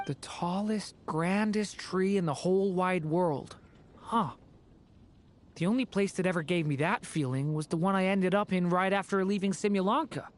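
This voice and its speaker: An actor playing a gentle, earnest and hesitant young male character in a video game. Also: medium pitch, moderate pace, a little hiss or hum, close to an everyday voice. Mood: thoughtful, hopeful. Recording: music behind the voice.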